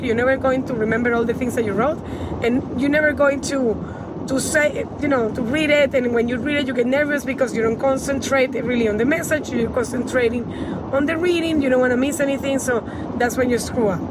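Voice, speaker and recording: Spanish-accented woman in a noisy car. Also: high voice. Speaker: women's empowerment coach giving tips. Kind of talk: casual advice vlog to camera. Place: inside a car. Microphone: phone at arm's length. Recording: loud car and road noise.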